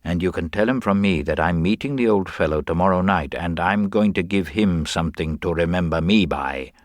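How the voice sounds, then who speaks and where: A man playing a sly, low-voiced gang boss. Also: no room sound, studio quality, natural voice. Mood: grimly resolved. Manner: knowing, unhurried, speaks between his teeth. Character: sly male gang boss, slow and menacing.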